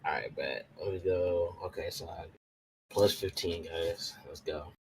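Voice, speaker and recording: A man's voice: sits low, unhurried, slight background noise, rough recording. A man playing a tabletop roleplaying game, chatting casually and thinking aloud in slangy, repetitive phrases.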